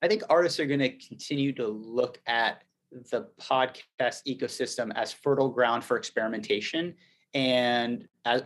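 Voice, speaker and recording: A man speaking as an interview guest, explaining things articulately in the business language of a company executive. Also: medium pitch.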